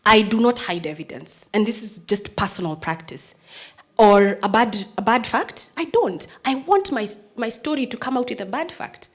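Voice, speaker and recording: A woman in her thirties with a Kenyan English accent, a prosecutor giving a personal storytelling talk to an audience. She has a strong, firm voice and shifts between hushed, near-whispered lines and loud, emphatic ones. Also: on the high side, animated, normal speed, breathy edge.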